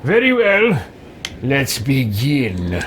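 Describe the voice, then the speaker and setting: A man voicing a pompous, self-important male lord as role-play over a video game, drawn-out and imperious. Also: mid-range voice, huge pitch swings, a bit bright, a little hiss or hum, full character voice. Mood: imperious, eager.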